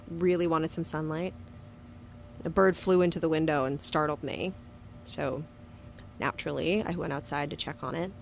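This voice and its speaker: A woman's voice: deep voice, slight background noise. An actress playing a hot-tempered, wounded young woman in an audio drama, with a blunt, sweary way of talking. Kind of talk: audio drama acting and first-person narration.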